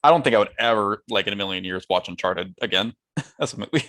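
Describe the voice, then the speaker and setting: A man's voice: fairly low pitch, lively intonation, fast, a bit bright. A man co-hosting a podcast, talking casually with hesitant, hedging phrasing. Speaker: podcast co-host. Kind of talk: casual movie discussion.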